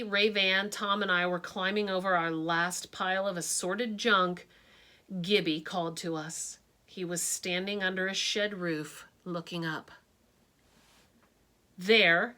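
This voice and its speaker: Slow, bright woman reading a story. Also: medium pitch. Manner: expressive, adds her own asides. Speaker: storybook reader. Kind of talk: reading a picture book aloud.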